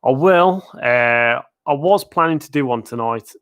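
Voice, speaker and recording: A man's voice: mid-range voice, animated, a bit bright, clean tone. Man rambling through a live-stream Q&A. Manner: rambling, lots of "uh" and "like", quick chuckles. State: losing his voice. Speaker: theme park video creator. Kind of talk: live-stream Q&A, casual chat. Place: at home, in his living room.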